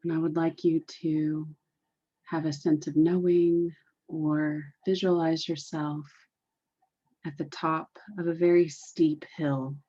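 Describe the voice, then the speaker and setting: A woman leading a guided meditation in a deliberate, soothing, repetitive way, on a dull, muffled recording. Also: sits low, flat delivery, dark tone.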